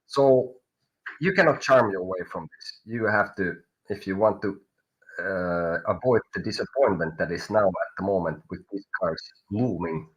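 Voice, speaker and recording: A man with a Finnish accent doing a live tarot reading, halting and searching for words as he goes. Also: sits low, husky, muffled recording. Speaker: tarot reader.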